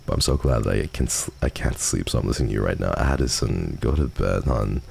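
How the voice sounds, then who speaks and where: A man's voice: a bass voice, brisk, vocal fry, darkish tone. A man hosting a livestream, chatting casually and answering viewer messages at a close microphone. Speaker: livestream host. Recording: faint background noise.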